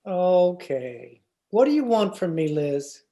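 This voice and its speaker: Woman acting a grumbling, animated young husband. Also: fairly low pitch, takes it slowly, heavily acted. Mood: suspicious. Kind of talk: old-time radio sitcom acting.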